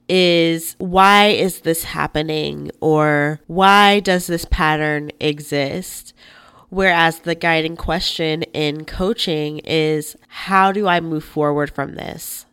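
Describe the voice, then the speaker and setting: A woman hosting a podcast in a chatty, informal, expressive way, sounding a little nasal and congested from a cold. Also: lowish voice, smooth. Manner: informal and expressive, often checks in with "right?". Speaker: podcast host and life coach. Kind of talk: chatty solo podcast talk.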